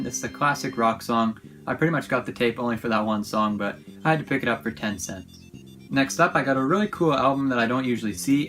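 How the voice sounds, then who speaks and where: A man's voice: mid-range voice, a bit bright. A man hosting a casual show-and-tell vlog in an informal, enthusiastic way. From Canada. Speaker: YouTube host.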